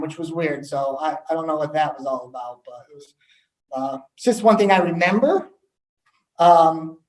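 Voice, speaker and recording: A man's voice: sits high, recorded from a distance. Man speaking in an echoey room. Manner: candid storytelling with frequent ums. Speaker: assistant principal. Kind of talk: motivational talk to students.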